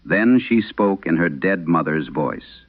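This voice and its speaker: A man playing an aging, haunted priest, telling a solemn horror radio monologue with measured, brooding, confessional delivery. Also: low voice, expressive, phone-quality sound, exaggerated voice. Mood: grave, haunted.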